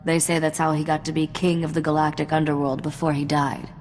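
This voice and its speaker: Shrewd woman mechanic for a space smuggler game, played by an actress: dry, wry, lowish voice, music underneath. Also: completely flat delivery, brisk, a little mellow, big-room sound, lightly acted. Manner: dry, sardonic, self-assured. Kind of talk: video game cutscene acting.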